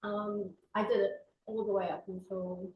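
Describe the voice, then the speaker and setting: A woman's voice: mid-range voice, flat delivery, normal speed, highs cut off. A woman from Africa, answering briefly and matter-of-factly in a class introduction. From South Africa. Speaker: class participant. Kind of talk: casual introduction. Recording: echoey room, faint noise.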